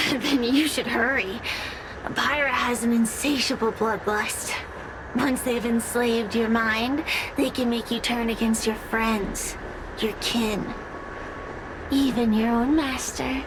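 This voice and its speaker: A haughty female spirit from a video game, fairly high and unhurried. She drawls with sly, theatrical mockery, and there is clear noise behind her. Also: fairly even intonation. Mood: gloating. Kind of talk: dramatic game voice acting.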